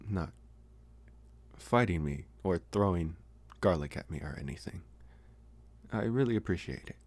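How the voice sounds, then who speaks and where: A low-voiced, slow-talking young male vampire, acted by a man for ASMR roleplay. He is possessive but socially awkward, hesitating as he tries to sound intimidating and coming across as earnest. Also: darkish tone, exaggerated voice. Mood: sheepish.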